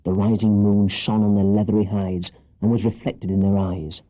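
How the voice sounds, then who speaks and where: A man narrating a children's story as an audiobook reader, with dramatic, scripted delivery and a husky voice. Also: fairly low pitch, little pitch movement, moderate pace, no room sound, lo-fi sound.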